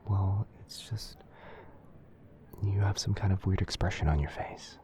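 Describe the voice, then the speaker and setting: A man playing a gentle young wizard boyfriend in an ASMR roleplay, speaking softly and often near a whisper with a tender, hesitant manner. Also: low voice, a little slow, a little hiss or hum. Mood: gently concerned. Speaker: roleplay audio performer.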